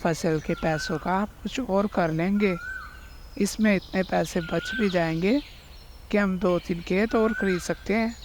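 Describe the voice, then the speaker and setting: A man's voice: sits high, unhurried, background noise. A man playing a boastful, sly crow who farms in a children's cartoon, with a mocking manner. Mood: eager, pleased. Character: boastful, sly male crow, a rich farmer. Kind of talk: Hindi cartoon dubbing.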